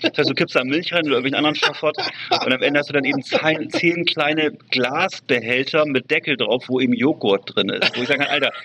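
A man's voice: medium pitch, brisk, sharp tone, slightly rough. A man co-hosting a casual podcast, chatting in a colloquial, griping manner. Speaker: podcast co-host. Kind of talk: casual chat. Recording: dull lo-fi face-to-face USB setup.

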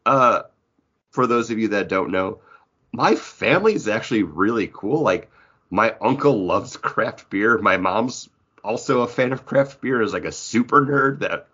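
A man's voice: on the low side, fairly bright tone, breathy edge. A man co-hosting a podcast, chatting casually in a rambling, jokey way. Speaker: podcast co-host. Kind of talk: casual comic book podcast chat. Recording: dull, lo-fi audio.

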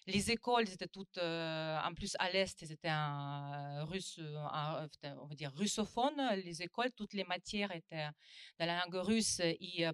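A woman from Ukraine, Russian her first language, talks haltingly at a round-table, stopping and restarting often. Her voice is smooth and clear, recorded dry and close. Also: lowish voice, a bit bright, dry recording. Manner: hesitant, many fillers and restarts. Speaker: panelist. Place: conference room.

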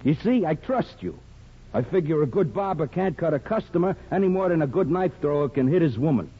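Dull old radio sound. a cocky male tough guy, husky and fairly high, swinging his pitch as he needles with sardonic menace in 1950s radio drama style. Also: animated, a little fast. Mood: sly, taunting. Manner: sardonic, taunting.

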